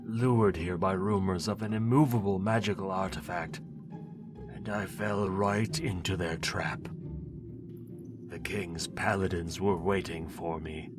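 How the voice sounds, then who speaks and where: A proud, trapped sorcerer, voiced by a man, who speaks in a very deep, unhurried voice. He orders and bargains in the same haughty tone. Music runs under him. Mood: aggrieved. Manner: imperious, bargaining. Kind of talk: audio drama acting. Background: background music.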